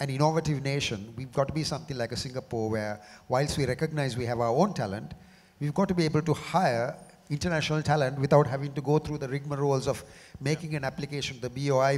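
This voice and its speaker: Hoarse Sri panelist, middle-aged man, measured and halting, long hall reverb. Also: medium pitch, steady tone, darkish tone. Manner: measured, often repeats words as he restarts. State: slightly hoarse from a cough. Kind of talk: panel discussion. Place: large conference hall.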